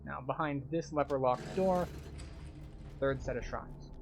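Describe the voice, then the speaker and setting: A man's voice: mid-range voice, a little slow, background noise. A man giving live gameplay commentary as a tour guide, explaining things step by step. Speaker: game streamer and tour guide.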